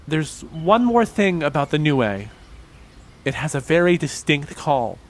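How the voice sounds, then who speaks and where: A man's voice: on the high side, big pitch swings, unhurried. An actor playing a hesitant, earnest young man in an audio drama, speaking in an unsure way. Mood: explanatory.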